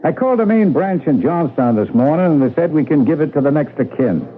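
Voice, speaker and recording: A man's voice: fairly high pitch, expressive, brisk, lightly acted. A man playing an easygoing, practical post office worker, casual and breezy, in a vintage radio mystery. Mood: reassuring. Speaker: radio drama actor. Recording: tinny, noisy old radio broadcast.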